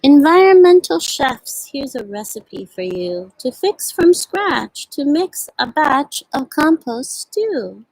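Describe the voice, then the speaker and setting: A woman hosting a storytime for young children, explaining and reading aloud in simple words with little questions, in a slightly husky voice. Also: high voice, sing-song, slow pace, hardly any pauses, darkish tone, no echo, poor audio. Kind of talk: show-and-tell and read-aloud for young children.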